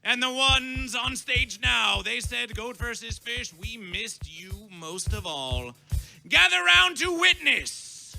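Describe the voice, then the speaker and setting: High, piercing man emceeing theatrically. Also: creaky. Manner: grandiose, dramatic, old-fashioned town-crier wording; stretches and shouts key words. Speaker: festival master of ceremonies. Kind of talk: theatrical stage announcing, chanted band introductions. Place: live festival stage. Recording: live stage sound, faint music behind.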